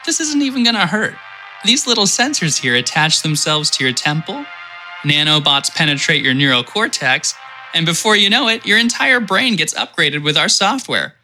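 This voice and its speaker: A man voicing a pushy male vision officer who pitches brain implants like an infomercial host, cheerful with a menacing edge. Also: sharp tone, exaggerated voice. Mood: creepy cheerful.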